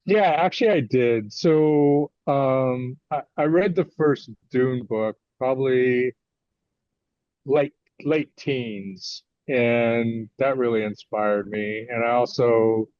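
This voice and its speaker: A man in his sixties with an American accent talks as a guest author in an interview. His delivery is halting and conversational. The sound is close and dry but a little dull and lo-fi. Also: medium pitch, dry recording. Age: 60s. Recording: slightly dull, lo-fi audio.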